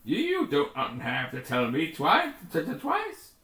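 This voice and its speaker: A man's voice: on the high side, huge pitch swings, slow pace, bright, forceful tone, reverberant, full character voice. A man voicing a panicky, stammering research scientist in distress in a sci-fi adventure story. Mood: panicked. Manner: stammering, spluttering alarm.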